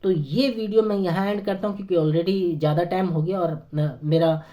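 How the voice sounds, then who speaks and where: A hoarse man with a sore throat, talking earnestly to camera as a network marketing consultant, persuading with many hesitant fillers. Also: high-pitched, steady tone, normal speed, mellow. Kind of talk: persuasive motivational talk to camera.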